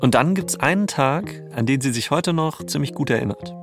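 A man's voice: mid-range voice, lively intonation, normal speed. A man with a standard German accent reading scripted narration as a podcast co-host. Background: music bed.

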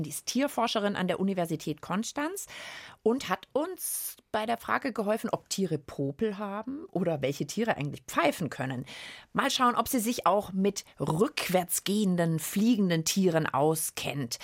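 Lively, husky woman presenter. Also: mid-range voice, dry recording, clean studio sound. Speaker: host of a children's science podcast. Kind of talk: playful conversational presenting for children.

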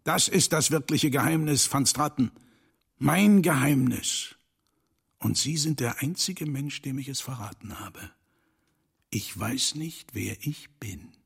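A man playing an enigmatic, commanding older rich man in a dubbed film drama, speaking deliberately and theatrically with dramatic pauses and hushed drops. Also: medium pitch, expressive, full character voice. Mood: brooding, intense. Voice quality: gravelly, husky.

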